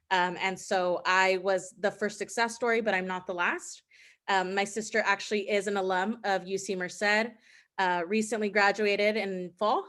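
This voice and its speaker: A woman's voice: mid-range voice, fairly bright tone. Chatty young woman presenting from home. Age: 20s. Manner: casual, chatty, frequent ums. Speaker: guest speaker. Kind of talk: online presentation.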